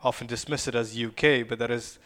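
A man's voice: medium pitch, fairly even intonation, a little fast, fairly bright tone. A man from Ukraine, a podcast guest, telling stories in a chatty way and laughing often. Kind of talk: casual podcast chat.

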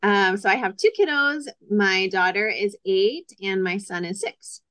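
A woman in her thirties from Connecticut, talking as a guest in a casual interview, relaxed and chatty with frequent ums. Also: medium pitch, a little slow, no echo. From Connecticut, USA. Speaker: guest, photographer.

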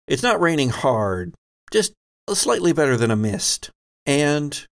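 A man hosts a tabletop role-playing game as game master. He talks at an unhurried pace, with some gravel and creak in his voice. His delivery is conversational, moving between scene narration and rules talk. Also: medium pitch.

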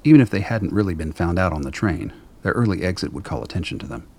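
A man narrating a scripted radio drama in a measured, dry, literary storytelling manner. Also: sits low, expressive, a little mellow.

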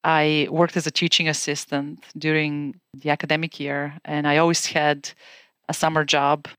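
Bulgarian accent lady in her 40s, smooth clear voice, dry close mic, calm steady telling. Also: low-pitched, normal speed, no room sound. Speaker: guest. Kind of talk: podcast interview, recounting her career.

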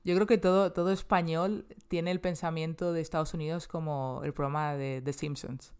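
A woman's voice: low voice, fairly even intonation, normal speed, darkish tone, clear, resonant tone. A woman in her thirties with a Spanish accent, chatting casually as an interview guest and restarting her sentences often. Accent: Spain Spanish. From Spain. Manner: chatty, many restarts and fillers.

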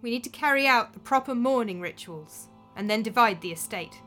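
Woman doing a frightened stepmother in a storybook, raised, pleading pitch. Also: animated, a little fast, soft background music. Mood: quiet, shaken. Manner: pleading, then quiet and subdued. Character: shocked, frightened stepmother, middle-aged woman.

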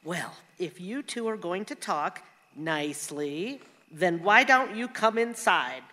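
An actress playing a bossy but hospitable wife in a radio play, plainspoken and quick to scold. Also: female voice, on the low side, animated, pushed and bright, big-room sound, full character voice.

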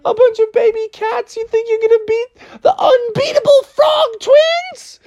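A woman voicing a cocky, boastful male frog baseball pitcher who brags in a loud, shouting voice. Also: steady tone, measured pace, highs cut off. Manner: brash, shouting, laughing boasts.

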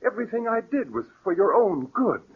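Swooping, scheming radio-drama murderer. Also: male voice, high voice, big pitch swings, brisk, husky, heavily acted. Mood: urgent, coaxing. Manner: theatrical, hesitant politeness with coaxing undertone. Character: smooth, scheming male murderer, nervy yet falsely solicitous. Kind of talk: 1940s radio mystery acting. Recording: muffled, lo-fi 1940s radio recording.

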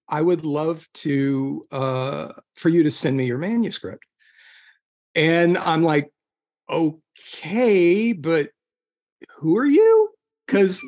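Man haltingly telling casual stories. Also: fairly high pitch. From southern California. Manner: halting, self-interrupting, groping for words. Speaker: guest author. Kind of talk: casual conversational storytelling.

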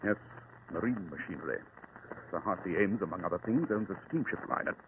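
A commanding detective from an old radio play, rapid and very animated. His diction is clipped and precise, and he sounds sure of himself. The recording is thin and muffled. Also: low voice, noisy background. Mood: hushed, intent. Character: sharp, confident, commanding male detective. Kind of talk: old-time radio drama acting.

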